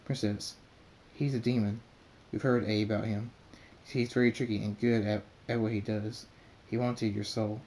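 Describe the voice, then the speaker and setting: Guy doing a stern pony guard in armor, commanding and blunt, warm low tone. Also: level tone, normal speed, hardly any pauses, slight background noise, natural voice.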